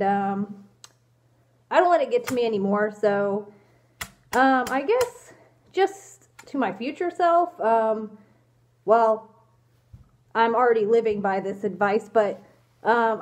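A woman's voice: fairly high pitch, darkish tone. A young woman with a congested cold, answering viewer questions in a casual vlog, hesitant and apologetic with many ums, filmed on a phone held at arm's length in a small room. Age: young adult. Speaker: fursuit vlogger answering questions. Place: small indoor room, in costume. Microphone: phone camera at arm's length.

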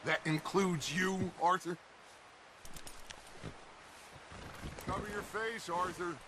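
An actor playing a commanding, charismatic outlaw gang leader in a Western video game, with a theatrical, authoritative delivery. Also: male voice, sits high, steady tone, measured pace, noisy background. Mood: calm, commanding. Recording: loud music and horse hoofbeats behind.

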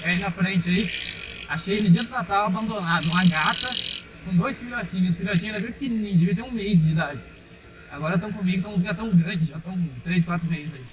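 A man's voice: sits high, fast. A young Brazilian man chatting casually to his viewers while riding a motorcycle on a dirt road, recorded on a helmet camera. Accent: Brazilian Portuguese. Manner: chatty, addresses viewers as "rapaziada". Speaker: motovlogger. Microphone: helmet-mounted action camera. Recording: muffled helmet camera with engine and wind noise.

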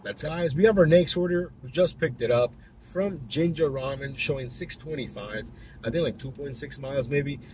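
A man's voice: mid-range voice, moderate pace, darkish tone. A young man vlogging while he drives, chatting loosely to the camera with lots of fillers and restarts. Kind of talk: casual vlog talk to camera. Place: inside a car, driving. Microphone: dash-mounted camera. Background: faint car noise.

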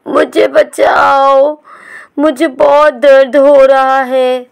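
A woman's voice: high-pitched, flat delivery, extremely slow, creaky, voice pushed up, heavily acted. A woman voicing a hurt, frightened baby hawk boy, pleading and tearful. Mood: pained.

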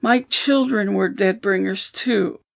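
Actress as a slow, creaky, grieving old woman. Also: mid-range voice. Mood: sorrowful. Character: grieving, wary old woman, protective and stubborn. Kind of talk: audio drama acting.

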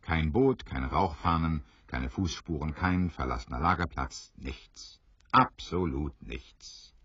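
A man's voice: extremely low pitch, expressive, slow pace, a bit bright, slightly rough, dry recording, lo-fi sound. A man narrating an adventure audio drama in a scripted storytelling style.

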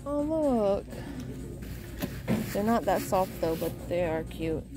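Slow-talking woman browsing a store. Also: mid-range voice, expressive, music in the background. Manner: chatty, pointing out items and reading prices aloud. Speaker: shopping vlogger. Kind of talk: casual shop-with-me narration. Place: large home goods store, indoors.

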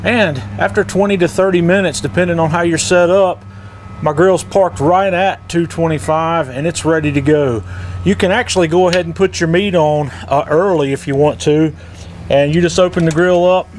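A man's voice: fairly high pitch, steady tone, moderate pace, slight background noise. A middle-aged man, explaining step by step in a casual, folksy how-to style as a product demonstrator. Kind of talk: casual instructional how-to narration.

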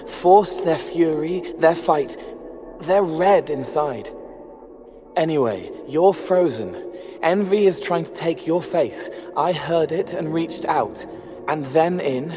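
An actor playing a gentle, eerie young spirit in a video game, halting and dreamy in his earnest wish to help. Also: a little high, animated. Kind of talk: video game cutscene acting. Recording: music behind.

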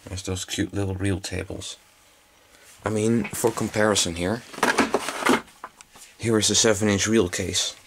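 A man's voice: lowish voice, fairly even intonation, measured pace, long pauses. A man giving a casual hands-on gadget demonstration indoors, picked up by a camera microphone. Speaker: gadget collector presenting. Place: indoors at a cluttered workbench. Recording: faint background noise.

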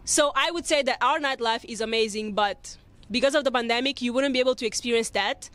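A woman's voice: on the high side, a little fast, a bit bright. A young woman from Mozambique chatting casually as a vlog host, answering viewers' questions. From Maputo, Mozambique. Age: young adult. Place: open city rooftop, outdoors. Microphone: handheld earphone mic near mouth.

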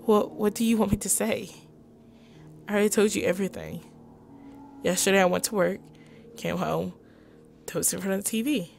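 A woman's voice: medium pitch, normal speed, vocal fry, pauses often, a little mellow, a little hiss or hum. A woman gaming co-host with a theatrical, emotive delivery, acting out visual novel dialogue.

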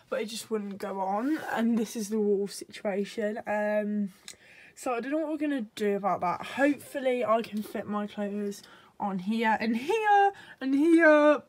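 A woman's voice: on the high side, measured pace. A teenage girl chatting casually in a home vlog from her bedroom, rambling with plenty of fillers. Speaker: vlogger. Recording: noisy home video.

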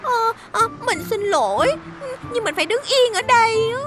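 A sweet, naive girl bus from a cartoon. Her voice is very high and swoops in a lively sing-song, gushing with admiration and quick to worry. Loud cartoon music plays underneath. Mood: worried. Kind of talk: Vietnamese cartoon dub.

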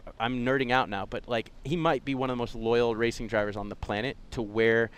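A man in his thirties, a racing driver, chatting casually and telling animated stories with quick asides. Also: medium pitch, smooth. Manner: animated storyteller, quick impressions and self-deprecating asides. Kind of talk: casual chat. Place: outdoors in sunny yard.

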